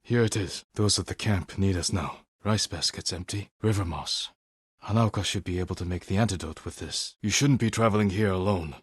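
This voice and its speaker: An actor playing a stoic, honorable samurai warrior in a video game, speaking in a measured, formal and grave way. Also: male voice, sits low, breathy edge, dry recording, clean studio sound. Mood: measured, resolute. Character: stoic, honorable samurai warrior, adult man, solemn and protective. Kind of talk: dramatic video game acting.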